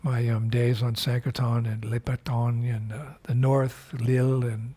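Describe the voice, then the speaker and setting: An older man speaks in a husky, slightly breathy voice at an ordinary middle pitch. He talks slowly and reflectively, as a spiritual teacher in a recorded video message, often pausing and restarting his sentences. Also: flat delivery, dark tone. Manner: unhurried, reflective, with frequent fillers and restarts.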